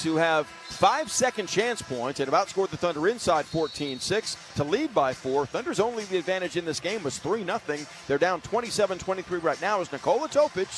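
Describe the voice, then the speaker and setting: High-pitched American man calling basketball. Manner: clipped present-tense phrases. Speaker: basketball radio play-by-play announcer. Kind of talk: live sports commentary.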